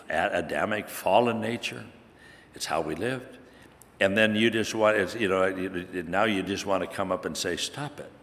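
A man from Hungary, preaching a sermon with halting restarts and emphatic scripture quotes. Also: lowish voice, fairly bright tone. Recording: long hall reverb.

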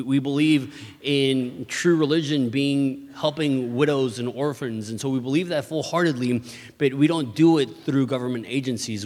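A man from Mexico gives an earnest church missions presentation, often restarting and repeating words as he goes. His voice sits at a middling pitch and carries a long reverb, as if it is filling a large hall. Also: darkish tone. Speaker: missions ministry leader.

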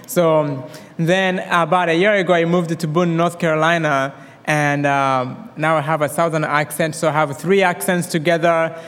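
A young man from Rwanda with a Rwandan accent, giving his personal testimony at a church service in a quick, excited way with many restarts. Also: on the high side, smooth. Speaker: guest speaker. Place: church hall. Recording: long reverb of a hall.

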